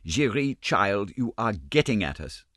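A man's voice: on the low side, big pitch swings, unhurried, fairly bright tone, dry recording, studio quality, exaggerated voice. A man doing a haughty lead ballerina. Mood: reproachful. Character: haughty, pale leading ballerina.

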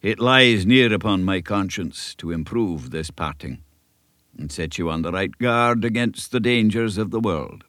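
A man's voice: sits low, animated, unhurried, fairly bright tone, no room sound, studio quality. A man voicing an earnest, pious old country minister, speaking solemnly. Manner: solemn, sermonizing.

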